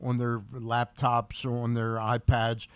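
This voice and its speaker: A man co-hosting a casual sports talk show on radio, speaking haltingly and repeating words as he goes. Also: mid-range voice, completely flat delivery, clean tone, no echo. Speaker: radio co-host.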